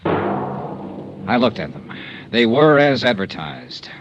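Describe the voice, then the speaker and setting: An actor playing a wry, hard-boiled insurance investigator with a dry, clipped delivery, in a 1950s radio drama. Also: male voice, medium pitch, very animated, takes it slowly, muffled recording, music in the background. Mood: brisk narrating. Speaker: detective narrator and lead. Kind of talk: 1950s radio noir narration and acting.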